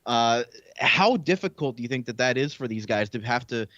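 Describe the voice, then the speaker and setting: Sports host on a phone-like line. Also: male voice, medium pitch, expressive, normal speed, fairly bright tone, no echo. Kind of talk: casual live draft coverage interview.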